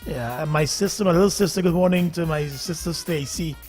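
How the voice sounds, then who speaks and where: A Guyanese man hosting a casual morning radio show, chatty and quick to laugh, with rambling asides. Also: on the high side, a little mellow, soft background music. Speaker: radio host.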